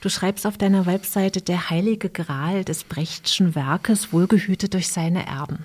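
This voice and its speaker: A woman hosting an interview, asking calm, measured questions with the occasional filler. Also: fairly low pitch. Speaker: interviewer, host. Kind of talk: conversational interview.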